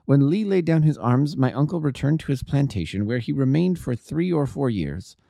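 A man voices a refined young gentleman from a storybook, anxious and courteous. His delivery is earnest and formal, with swooping intonation and a soft, mellow tone. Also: medium pitch, lively intonation, normal speed, vocal fry, no echo, studio quality. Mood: earnest, explaining. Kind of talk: audiobook character dialogue.

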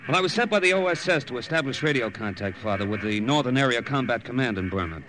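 A man's voice: medium pitch, animated, fast talker, lightly acted. An actor playing a candid, wry American army captain, a youngish man with a casual manner, in an old radio drama. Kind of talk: 1940s-50s radio drama acting and narration. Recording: noisy old radio recording.

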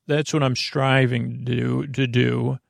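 A man with a creaky voice hosts a bedtime podcast, rambling in a halting, meandering, self-deprecating way full of tangents. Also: medium pitch, level tone, no room sound, studio quality. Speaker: podcast host.

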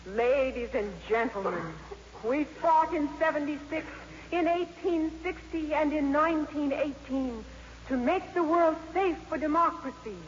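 A woman's voice: high voice, measured pace. An actress playing an earnest, impassioned teenage schoolgirl debater in 1950s radio drama, with an emphatic delivery. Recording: dull, noisy old radio broadcast, hall reverb.

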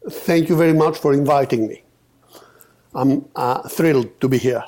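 A man in his fifties from Greece, a podcast guest telling his story with many pauses and restarts. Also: medium pitch, dark tone. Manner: hesitant, many uhs and restarts. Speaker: podcast guest, company founder. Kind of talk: casual storytelling interview.

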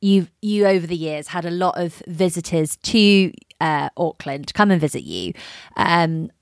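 A woman hosts an interview and asks curious, halting questions with plenty of fillers. Her voice is fairly low. The sound is dry and close. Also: lively intonation, creaky, dry recording. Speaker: podcast host. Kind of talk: casual interview.

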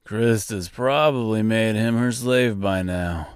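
A man voicing a dying, rusted tin man who taunts in a low voice and laughs between his words. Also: slow pace, clear, resonant tone, no room sound, clean studio sound. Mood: mocking.

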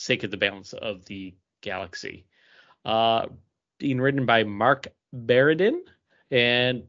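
A man co-hosting a podcast, casually previewing comics and often halting to search for words. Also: mid-range voice, a bit bright. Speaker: podcast co-host. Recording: dull, lo-fi podcast audio.

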